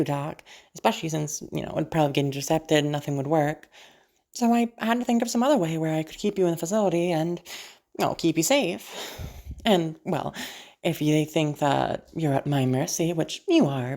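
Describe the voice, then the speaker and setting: Casting: actress as unhinged female asylum patient, low-pitched, possessive and flirty, halting teasing delivery, roleplay audio drama. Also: vocal fry, a little mellow. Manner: halting, teasing, with casual swearing.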